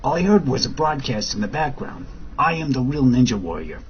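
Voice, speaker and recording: An actor playing an excitable, hungover man showing off an app in an amateur audio drama. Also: medium pitch, brisk, lightly acted. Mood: amused. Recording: muffled recording with faint background noise.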